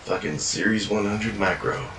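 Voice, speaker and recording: A man's voice: fairly low pitch, level tone, normal speed. A man narrating a first-person fiction journal in a weary, world-worn way, swearing often. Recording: echoey room, distant mic, noisy.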